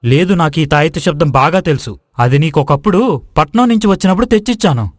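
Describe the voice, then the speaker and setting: Casting: man as stubborn, skeptical young villager, argumentative storybook hero, slightly high voice, dry sound. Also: expressive, fast, dry recording. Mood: accusing. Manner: assertive, argumentative. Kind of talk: acted moral-story dialogue.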